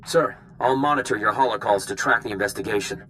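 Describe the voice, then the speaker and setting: An actor playing a dutiful young field agent in a video game, speaking crisply and professionally. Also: male voice, lowish voice, fast talker, pushed and bright, slight background noise. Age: young adult. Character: eager, dutiful young male spy.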